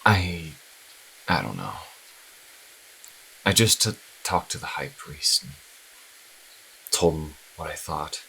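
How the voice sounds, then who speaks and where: A man playing a troubled young priest losing faith in his church, speaking earnestly and haltingly in an audio drama. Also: very deep voice, big pitch swings, creaky, sharp tone, slight background noise, full character voice, rough recording. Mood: shaken, hesitant.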